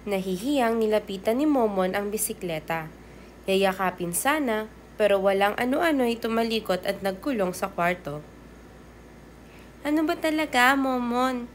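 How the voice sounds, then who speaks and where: A woman's voice: mid-range voice, normal speed. A woman teacher reading a children's storybook aloud, expressively and directly to young listeners. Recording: clear background noise.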